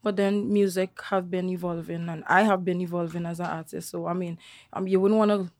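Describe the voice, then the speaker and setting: A young Trinidadian woman from the countryside who is a singer, talking relaxed and chatty as an interview guest, with many fillers and restarts. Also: lowish voice, a little fast, no room sound. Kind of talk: casual interview chat.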